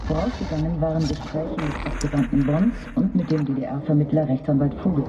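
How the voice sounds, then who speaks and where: A man's voice: fairly high pitch, fairly even intonation, a little fast. A man reading a formal scripted news bulletin on a lo-fi radio broadcast. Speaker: news reader. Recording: dull lo-fi radio broadcast, noisy.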